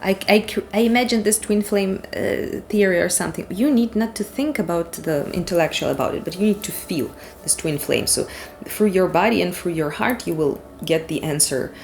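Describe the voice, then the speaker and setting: Woman, Ukrainian accent, lowish voice, casual tarot reading, rambling and blunt, faint background hiss. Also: normal speed, gravelly, a little mellow. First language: Ukrainian or Russian. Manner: rambling, frank, swears casually. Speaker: tarot reader.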